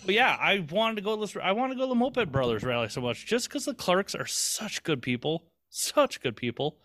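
A man's voice: high-pitched, very animated, fairly quick, fairly bright tone. A man co-hosting a podcast in casual chat, rambling and swearing casually with lots of restarts. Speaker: podcast co-host.

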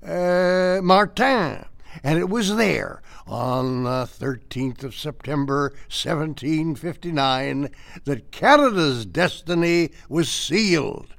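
A folksy old storyteller in an audio drama. His voice is creaky and slow, rising and falling in lively swoops, genial and easily flustered. A small crowd murmurs behind him. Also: a little high, no echo, exaggerated voice. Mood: delighted, storytelling. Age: older. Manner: genial, halting, old-fashioned exclamations.

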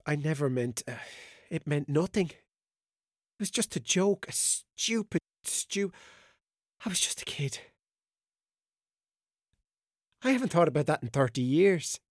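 An actor playing a frightened, remorseful captive of about forty in an audio drama, halting and pleading. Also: male voice, on the high side, sing-song, dry recording, studio quality. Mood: halting apology.

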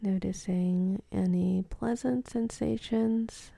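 A woman guides a meditation slowly and softly, often slipping toward a whisper. Her tone is very dark and relaxed, with a creaky edge. She leaves long, calm pauses between short phrases. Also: medium pitch, dry recording. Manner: soft, calm, breathy, half-whispered delivery with long pauses.

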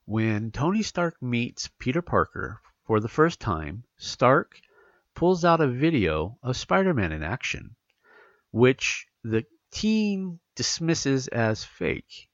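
A man's voice: medium pitch, big pitch swings, takes it slowly, no room sound, muffled recording. A man hosting a casual podcast, congested from allergies, rambling with many fillers and restarts as he reads out news. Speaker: podcast host. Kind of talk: casual podcast chat, reading news aloud.

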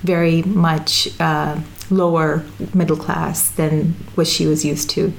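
A woman's voice: deep voice, little pitch movement, slow pace, creaky, a little hiss or hum, poor audio. A woman from Sudan, raised in Dubai, telling stories casually in an interview, with many fillers and restarts. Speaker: interview guest. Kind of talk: casual conversational storytelling.

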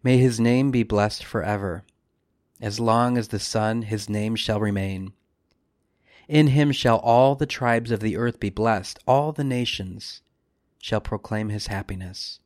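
A man speaking as a priest, leading a scripted devotional meditation with measured, solemn phrasing and deliberate pauses. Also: lowish voice, a little mellow, no echo, clean studio sound.

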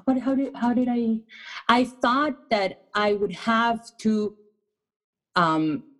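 A woman's voice: fairly high pitch, animated, slow pace, fairly bright tone, rough recording. A woman from Israel, a fitness coach, talking loosely in a casual interview with lots of fillers. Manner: loose and chatty, many fillers.

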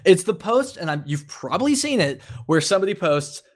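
A man with a voice a bit higher than average chats casually as a podcast co-host. He talks in a halting, conversational way, thinking aloud and restarting as he builds a point. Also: sing-song.

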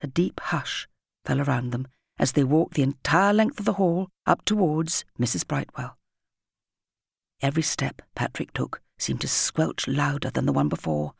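A woman's voice: low-pitched, vocal fry, husky, no room sound, studio quality. A woman with a British accent reading a scripted story expressively as an audiobook narrator.

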